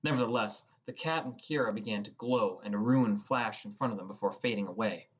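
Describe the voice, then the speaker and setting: A man's voice: lowish voice, brisk, husky. A young man reading scripted first-person narration as an audiobook narrator.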